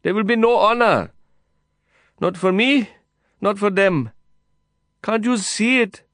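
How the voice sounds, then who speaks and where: A man voicing a proud, desperate male prisoner, pleading loudly and insistently through a fence. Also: high voice, lively intonation, moderate pace, clear, resonant tone, dry recording, studio quality, voice pushed up. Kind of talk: audiobook character voice.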